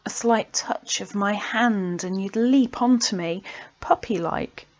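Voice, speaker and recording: A woman reciting her own poems in an expressive, dramatic way, with breathy pauses that dip toward a whisper. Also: mid-range voice. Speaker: poet reading own poems. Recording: dull lo-fi home recording.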